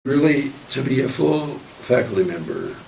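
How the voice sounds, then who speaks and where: A man in his seventies or older, a professor giving halting, reflective interview answers that circle back mid-sentence. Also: medium pitch, expressive, a little mellow. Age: 70s or older. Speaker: university professor of finance. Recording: roomy, distant mic, clear background noise.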